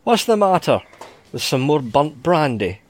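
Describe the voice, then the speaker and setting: A man acts out a crusty devil from a storybook sea yarn. He is a gruff, scheming bargainer who talks in plain colloquial turns, and his pitch moves in lively swings. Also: measured pace. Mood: pleased. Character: the devil, male, crusty but easily delighted schemer.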